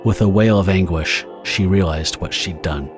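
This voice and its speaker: A man narrating a scripted audio drama story in a measured, dramatic storytelling manner. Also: low voice, loud music behind the voice.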